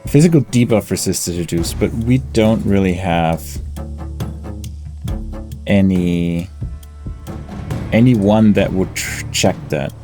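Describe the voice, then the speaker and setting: A man giving casual let's play commentary, thinking aloud in a deliberate, low-key way. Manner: deliberate, low-key, talks through tactics. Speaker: gaming YouTuber. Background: game music.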